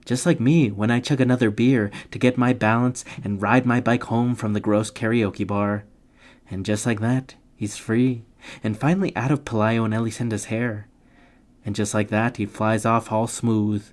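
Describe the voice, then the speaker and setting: Low-voiced man narrating with playful asides. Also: steady tone, normal speed. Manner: playful, tongue-in-cheek, slangy asides. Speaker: narrator of literature summary videos. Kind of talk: scripted narration with comic asides.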